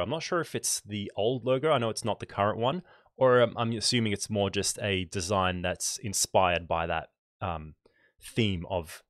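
A man in his thirties, slightly nasal and congested with a cold, casually presenting on a livestream in an unhurried, conversational way into a close microphone. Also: on the low side, dry recording. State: congested from a cold, scratchy throat. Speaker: photography channel host. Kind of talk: casual livestream book review. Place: small home room, indoors. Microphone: large microphone close on a boom arm.

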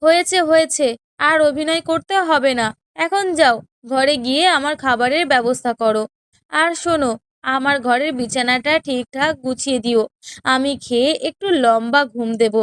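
A woman's voice: high-pitched, normal speed, a bit bright, no echo. A woman voicing a spiteful, imperious younger co-wife in a children's cartoon, scolding and sneering. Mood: scornful, bossy. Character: haughty, spiteful younger co-wife in a village household. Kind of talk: children's cartoon story dialogue.

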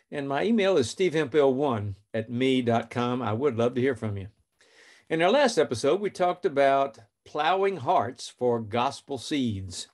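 Earnest middle-aged man hosting a faith podcast. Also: mid-range voice. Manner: earnest, plain storytelling with scripture readings. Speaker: podcast host.

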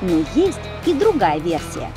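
A woman narrating a travel video as its host, in an expressive, storytelling voice-over. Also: fairly low pitch, a little slow. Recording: voice-over with music behind.

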